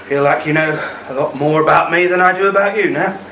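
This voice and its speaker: A man's voice: a little high, brisk, bright, forceful tone. A man playing an older ex-army husband in a radio play, regretful and haunted by a lost love, speaking with hesitant emotion. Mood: guilty, regretful. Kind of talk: amateur radio play acting. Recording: roomy, distant mic, faint noise.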